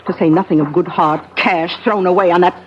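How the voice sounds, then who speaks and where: An actress playing a bossy, scolding sister-in-law. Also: female voice, on the low side, steady tone, brisk, close to an everyday voice. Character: domineering stingy sister-in-law, sharp-tongued. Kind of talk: 1970s radio drama acting. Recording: dull old radio broadcast with hiss.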